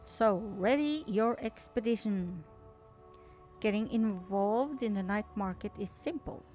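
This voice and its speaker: Halting non-native English woman, music behind. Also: medium pitch, lively intonation, darkish tone. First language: not English. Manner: halting, stumbles over words and restarts. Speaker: gaming video creator and host. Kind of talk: reading an article aloud with casual commentary.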